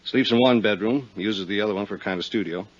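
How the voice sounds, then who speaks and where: A man's voice: on the low side, fast talker, close to an everyday voice. A man with an American accent acting as a breezy, folksy, slangy private detective in a 1950s radio drama. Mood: matter-of-fact. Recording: muffled vintage radio recording.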